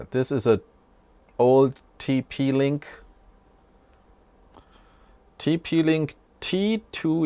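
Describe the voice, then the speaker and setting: A man's voice: medium pitch, a little mellow, no echo. A man in his forties hosting an unscripted tech walkthrough from a small room at home, rambling casually and correcting himself as he goes. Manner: rambling, self-correcting, chatty. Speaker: tech channel host.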